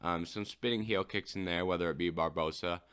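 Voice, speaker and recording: A man's voice: sits low, steady tone, a little fast, a bit bright, smooth. A man hosting his own podcast, talking through a fight recap alone in a casual, unscripted way like a keen fan. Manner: keen fan, lingering on a knockout moment by moment. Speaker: podcast host. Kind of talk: solo sports recap podcast.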